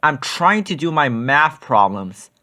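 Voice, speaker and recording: Young schoolboy, voiced by a man, earnest, helpful, studious, slightly high, lively pitch, storybook. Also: measured pace, full character voice.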